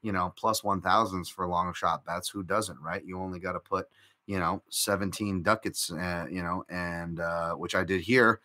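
Bright-voiced rambling male podcast host. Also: sits low, steady tone, normal speed. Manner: rambling, filler-heavy, restarts often; chatty, reacts to viewer comments. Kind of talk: casual live-stream betting breakdown.

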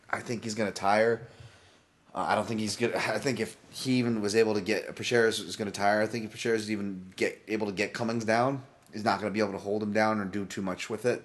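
A man's voice: fairly low pitch, steady tone, fairly quick. A man with a Hawaiian accent hosting a casual solo podcast, talking in a rambling way with many restarts and casual swearing. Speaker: podcast host and fight analyst.

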